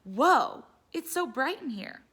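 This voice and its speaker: A woman's voice: high-pitched, sing-song, slow pace, a bit bright, slightly rough, voice pushed up, heavily acted. A woman voicing an earnest, wide-eyed young schoolboy in a storybook, simple and candid. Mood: wonder.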